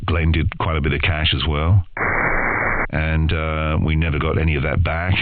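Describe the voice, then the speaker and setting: Australian male, very low register, casual interviewee delivery, muffled lo-fi radio audio. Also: flat delivery, moderate pace. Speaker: interview guest, podcast co-host in voiceover and audio. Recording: dull lo-fi radio audio, loud noise, clicks.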